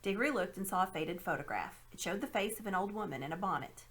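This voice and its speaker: Animated woman reading a storybook. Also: medium pitch, quick pace. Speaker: reader. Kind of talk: expressive storybook narration.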